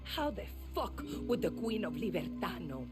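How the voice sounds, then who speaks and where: A woman's voice: medium pitch, very animated, moderate pace, loud music behind the voice, close to an everyday voice. An actress playing a tough, vengeful female guerrilla fighter in a game cutscene, blunt and profane. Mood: bitter. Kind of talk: video game cutscene acting.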